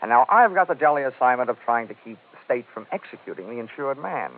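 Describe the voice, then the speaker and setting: Male actor as tough American investigator, wry clipped wisecracks, very animated pitch, old-time radio drama, muffled vintage sound. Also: fast, a little hiss or hum, lightly acted. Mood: wry, businesslike. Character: wry, tough, cocky freelance insurance investigator. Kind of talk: 1940s-50s radio detective acting, first-person narration.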